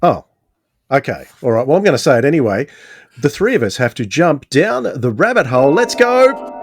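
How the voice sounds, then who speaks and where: A man's voice: on the high side, very animated, moderate pace. A man hosting a podcast in a casual, chatty style. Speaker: podcast host.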